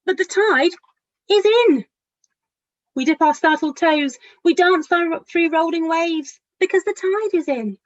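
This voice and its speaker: A woman's voice: very high voice, measured pace, dry recording, dull audio. A woman children's author reading a picture book aloud expressively, speaking directly to young listeners.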